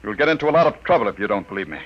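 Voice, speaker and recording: A man's voice: fairly low pitch, very animated, very fast, lo-fi sound. An actor playing an arrested doctor in a 1950s radio drama, speaking urgently and insisting indignantly on his rights. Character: determined galactic-citizen doctor under arrest, adult man.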